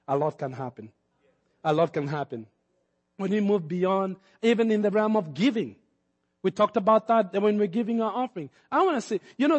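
A man from Kenya preaching a sermon, building to emphatic peaks and acting out the words of the people in his story. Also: sits high. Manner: preaching with rising emphatic peaks and acted-out quotes. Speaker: pastor. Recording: long hall reverb.

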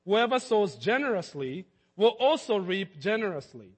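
A male pastor with a high-pitched voice delivers a sermon in a church. He stumbles and repeats words, then builds to emphatic peaks. The sound carries a long hall reverb. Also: sing-song, normal speed, slightly rough.

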